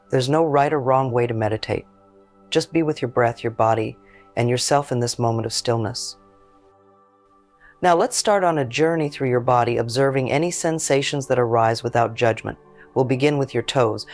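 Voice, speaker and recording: A man narrating a scripted guided meditation in a gentle, soothing, measured way. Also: medium pitch.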